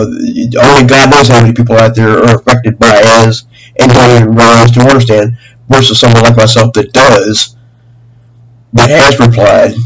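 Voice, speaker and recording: A middle-aged man making a rambling, aggrieved and earnest complaint as a caller, halting and repeating himself in long winding sentences. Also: medium pitch. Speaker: caller to a government office. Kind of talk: spontaneous phone complaint, rambling monologue. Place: small room at home, indoors. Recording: humming noisy home webcam recording.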